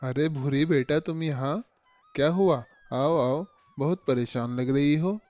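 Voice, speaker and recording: A man's voice: fairly high pitch, measured pace, a little mellow, no echo, highs cut off, faint music behind, close to an everyday voice. A man voicing a timid, henpecked elderly owl uncle in a children's cartoon, avuncular and fretful. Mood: concerned. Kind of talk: Hindi cartoon voice acting for children.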